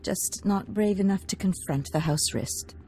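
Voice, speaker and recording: An actress playing an earnest, coaxing noblewoman, gentle in manner, in a video game. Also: medium pitch, a little slow, slight background noise, lightly acted. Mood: earnest, pleading. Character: earnest, seductive noblewoman, baron's discontented wife. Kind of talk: scripted video game acting.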